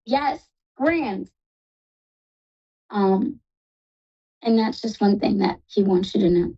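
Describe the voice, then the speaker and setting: A woman with a New York accent hosting a live psychic call-in show, talking fast and reassuring callers affectionately. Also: medium pitch, animated, breathy edge, dull audio. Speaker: psychic radio host.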